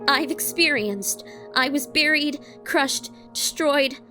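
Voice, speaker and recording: A very high, slow-paced young robot woman, acted by a woman speaks in halting fragments, anxious and guarded. It is dramatic comic-dub acting with faint music behind the bright voice. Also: heavily acted. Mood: fearful. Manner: halting, clipped short phrases.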